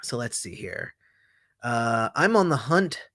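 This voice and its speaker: A man's voice: mid-range voice, very animated, slow pace, fairly bright tone, no room sound, clean studio sound. A man hosting a casual livestream, reading viewer comments aloud and rambling through answers with many fillers and restarts. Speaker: host answering livestream chat.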